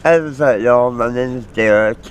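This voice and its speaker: An American man in his forties giving halting, candid personal testimony in a street interview. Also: mid-range voice, slight background noise. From Maryland, United States. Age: 40s. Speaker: homeless interviewee.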